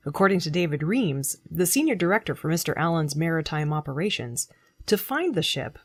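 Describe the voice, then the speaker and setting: Lively low-voiced woman reading news. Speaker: news reader. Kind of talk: scripted news narration.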